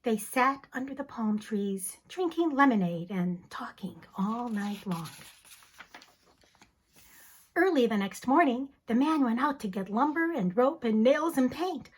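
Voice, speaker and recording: A woman teacher giving a dramatic, expressive reading of a children's picture book. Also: fairly high pitch, a little slow, breathy edge, low-quality recording. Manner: expressive, theatrical storytelling.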